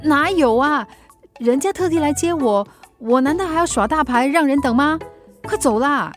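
A woman's voice: high voice, lively intonation, normal speed, faint music behind. An actress with a Singaporean accent playing a middle-aged, teasing and mildly exasperated mother in a radio drama, with chiding, playful banter. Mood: flustered. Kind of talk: Mandarin radio drama acting.

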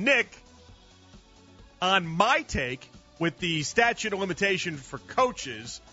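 A man in his thirties hosting sports talk radio, arguing his points with rhetorical questions. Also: sits high. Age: 30s. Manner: animated, argumentative, rhetorical questions. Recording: slightly dull radio broadcast with faint music.

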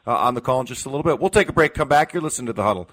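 A man's voice: medium pitch, expressive, rapid-fire, fairly bright tone. A man hosting a live sports talk radio show in an unscripted, conversational way.

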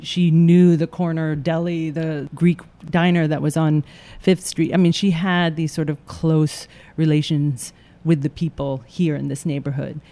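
Man, mellow warm tone, higher pitch, measured interview answers with fillers. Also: flat delivery. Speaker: theater company staff.

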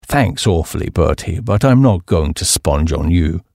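A man voicing a dejected, penniless young English gentleman with a British accent and a downcast delivery. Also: low-pitched, lively intonation, moderate pace, gravelly, no room sound, studio quality, voice pushed down, full character voice. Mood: gloomy.

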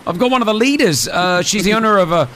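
Teasing male radio host, higher voice. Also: lively intonation, fast talker, a bit bright. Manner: teasing, chatty, many fillers. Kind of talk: casual radio banter. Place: radio studio.

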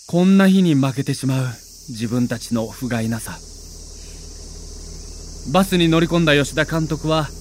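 A man with a somewhat high voice narrates an audio documentary as a sports reporter. His delivery is scripted, theatrical and emotive. A little noise sits behind the voice.

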